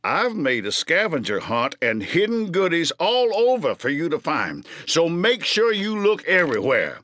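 Jovial older plush bear, folksy, chummy, lively pitch swings, forceful bright tone, video game. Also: male voice, unhurried. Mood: jovial, inviting. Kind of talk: video game character dialogue.

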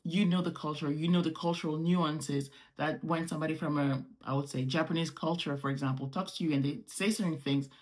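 A woman's voice: low-pitched, fairly quick, hardly any pauses. A woman in her thirties from Morocco, with French as her first language, talking casually to camera at home as a vlogger and gesturing as she explains. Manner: animated, gestures with hands while explaining. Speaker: travel vlogger. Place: small home room indoors.

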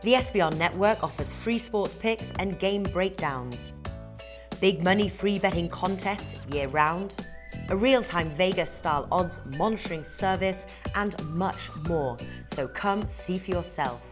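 A woman reading a scripted network promo in a smooth, polished way. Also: lowish voice, a little slow, over music. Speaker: promo voice-over. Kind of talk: scripted ad read.

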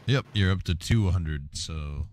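A man's voice: low voice, unhurried, darkish tone. A man opening a gaming stream and chatting casually with friends in a dry joking way. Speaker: co-host. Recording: noisy game stream audio.

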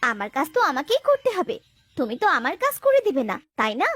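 Woman acting a shrill, nagging village wife. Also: huge pitch swings, fast, a bit bright, exaggerated voice. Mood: scolding. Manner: scolding, argumentative, sarcastic. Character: nagging, sharp-tongued village wife of a potter. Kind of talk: Bengali cartoon voice acting.

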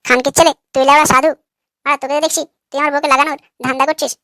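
A man doing a high, sharp, quarrelsome cartoon husband. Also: level tone, rapid-fire, no room sound, studio quality, full character voice. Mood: angry. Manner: combative, crude. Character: irritable, foul-mouthed, quarrelsome young husband. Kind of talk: comic cartoon dialogue.

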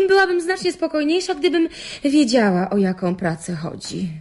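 Actress as high-pitched nervous young applicant. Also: female voice, normal speed, a little mellow, lightly acted. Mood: defensive. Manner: hesitant, nervously defensive. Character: nervous, wary young female job applicant. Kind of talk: radio drama acting.

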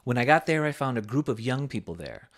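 A man reading a sermon aloud with a measured, emphatic preaching cadence. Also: medium pitch, expressive.

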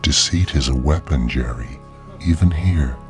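An actor playing a menacing virtual guide from a game, commanding and ominous, with a gravelly voice. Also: male voice, measured pace. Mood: cold, commanding. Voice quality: gravelly, very deep. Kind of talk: audio drama acting. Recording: loud music under the voice.

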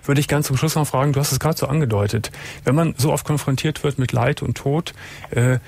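A middle-aged man hosting a late-night radio call-in show from a studio, asking calm, probing questions and murmuring agreement. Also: mid-range voice, a little fast, vocal fry, no room sound. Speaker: radio talk show host. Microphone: studio mic with headphones.

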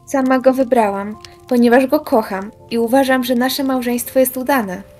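A woman's voice: fairly high pitch, fairly even intonation, normal speed, mellow, lightly acted. A woman playing a patient, defensive married daughter in an amateur radio play, earnest and reproving. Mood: earnest reproof. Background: music.

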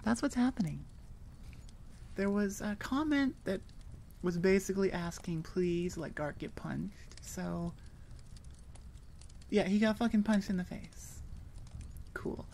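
A woman's voice: medium pitch, a little slow, long pauses, a little mellow, a little hiss or hum. A woman chatting informally to her listeners as an audio creator, candid and rambling. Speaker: ASMR voice actor and channel creator. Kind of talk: casual outro chat to listeners.

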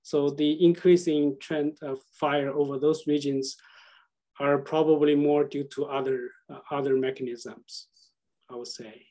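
A man gives a scientific presentation over a video call. His voice is mellow and a bit high in pitch. He speaks haltingly, repeating himself as he searches for words. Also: level tone, low-quality recording.

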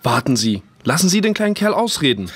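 An actor playing a calm but worried father in a children's audio drama. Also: on the high side, fairly quick, breathy edge. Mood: calm, concerned.

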